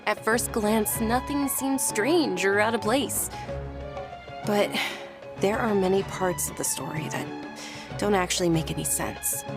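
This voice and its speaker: Gentle young lady of a noble house , acted by a woman: mid-pitched, measured, earnest, light music behind, game dialogue. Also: natural voice. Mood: thoughtful, probing. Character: gentle, dutiful young noblewoman, polite and resolute. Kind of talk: anime-style video game voice acting.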